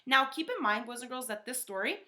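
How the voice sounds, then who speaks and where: Bright-voiced woman teaching children. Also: sits high, expressive, brisk. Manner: conversational, checks in often with "right?". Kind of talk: children's lesson talk.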